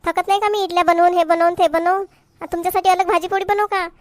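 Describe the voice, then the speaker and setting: Woman voicing exasperated village wife, Varhadi Marathi accent, comedy cartoon, extremely high pitch, quick scolding delivery, dry sound. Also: steady tone, dry recording. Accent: Varhadi Marathi from Vidarbha. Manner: scolding, complaining, sharp-tongued. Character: exasperated, hard-working village wife and mother who talks back.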